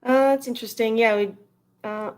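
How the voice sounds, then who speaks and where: A woman's voice: on the high side, fairly even intonation, moderate pace, a little mellow, smooth. A woman in her thirties with a western Canadian accent, speaking as a guest in a casual interview in an informal, rambling way. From Edmonton, Canada. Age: 30s. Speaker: interview guest, retired national team baseball player.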